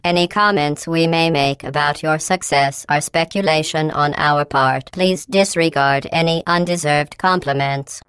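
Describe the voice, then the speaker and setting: An actress voicing a cold, sarcastic female AI that runs test chambers, speaking in a calm, clipped, deadpan way with quiet menace. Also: low-pitched, smooth, no echo. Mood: deadpan announcer. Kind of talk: video game voice clips.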